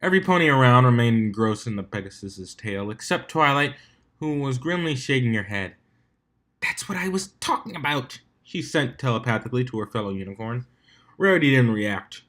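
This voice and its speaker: A man narrates a fan fiction story in a mid-pitched voice. His reading is measured and homemade, with the odd stumble as he goes through the text. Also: lively intonation, fairly bright tone.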